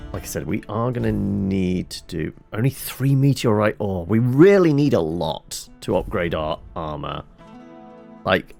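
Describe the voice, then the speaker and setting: A man giving casual commentary on his own gameplay. He rambles and corrects himself, and his gravelly voice rises and falls a lot. He speaks slowly over faint game music. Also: deep voice, darkish tone. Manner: rambling, self-correcting, muttering while sorting inventory. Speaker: let's play host.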